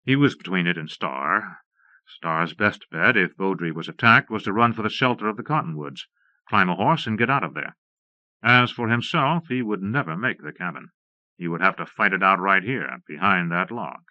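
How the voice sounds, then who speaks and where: A man's voice: low-pitched, expressive, normal speed, pushed and bright, dry recording. A man reading scripted western narration for an audiobook, recorded close and dry on a slightly dull cassette.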